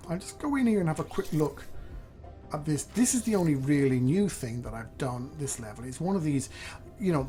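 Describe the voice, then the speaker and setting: A slightly hoarse man, thinking aloud in chatty, self-deprecating gameplay commentary as host. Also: medium pitch, a little mellow. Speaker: let's play host.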